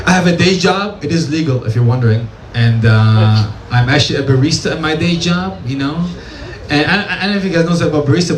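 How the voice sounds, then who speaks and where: Stand-up comic, man, Syrian accent, slightly high voice, deadpan and tipsy, echoey cafe room. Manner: deadpan, repeats "that's nice" after punchlines. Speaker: stand-up comedian. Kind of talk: stand-up comedy set. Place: small cafe comedy stage. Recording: echoey room with crowd noise. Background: audience laughter and room ambience.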